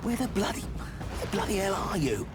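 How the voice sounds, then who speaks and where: An actor playing a tough, exasperated special forces soldier, terse and cursing, in a military video game. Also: male voice, high-pitched, big pitch swings, moderate pace, over music. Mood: frustrated. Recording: noisy battlefield ambience.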